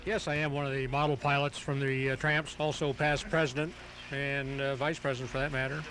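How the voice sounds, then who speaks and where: A man being interviewed on radio as a hobbyist, explaining things step by step in a halting, methodical way. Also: mid-range voice, level tone. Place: outdoors at a flying field. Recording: noisy home tape of outdoor radio broadcast.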